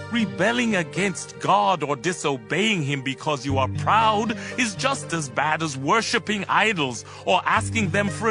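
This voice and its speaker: Casting: an indignant, commanding prophet for audio scripture drama; higher male register, over prominent music. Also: vocal fry, heavily acted. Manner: commanding, declamatory. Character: stern, indignant male prophet rebuking a king.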